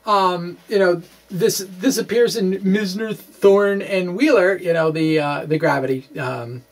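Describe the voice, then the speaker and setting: A middle-aged man giving an informal explanation to camera as a physics blogger, halting and often repeating words. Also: high voice. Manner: halting, hesitant, full of repeated words and tags like "okay?"; chuckles as he talks. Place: small indoor room with bookshelves.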